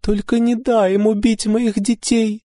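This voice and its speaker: A woman's voice: a little high, level tone, unhurried, mellow, dry recording, clean studio sound, voice pushed up, lightly acted. A man voicing a hysterical noblewoman who sobs and begs for her sons' lives. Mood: desperate pleading. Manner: sobbing, pleading, hysterical. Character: desperate noblewoman, a countess, begging for her sons.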